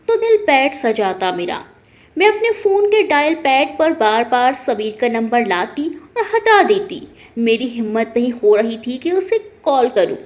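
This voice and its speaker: High-pitched, animated woman storyteller. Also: muffled recording. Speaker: story narrator. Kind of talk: first-person emotional story narration.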